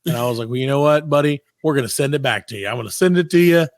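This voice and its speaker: Animated man telling stories, dry close sound. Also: medium pitch, racing, no room sound. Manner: animated, profane, acts out quoted dialogue. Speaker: comedy podcast host. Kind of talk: casual comedic storytelling chat.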